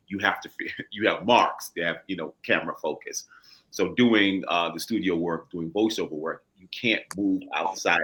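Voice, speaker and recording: A man's voice: low-pitched, pushed and bright, rough recording. A man, an actor, talking casually and emphatically as an interview guest in colloquial language. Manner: colloquial, emphatic, peppered with 'you know'. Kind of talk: casual, animated-worded storytelling in an interview.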